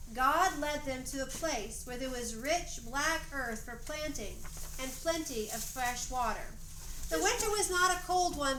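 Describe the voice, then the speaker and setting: In a reverberant, noisy room, a woman with a high voice and a Southern US accent narrates a homemade play. She reads the story aloud and steps out of it to coach the young cast through their parts. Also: bright, forceful tone. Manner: breaks off to coach and prompt young performers. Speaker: narrator directing a children's dramatization. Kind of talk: reading a story aloud with off-script stage directions. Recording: echoey room, noisy amateur recording.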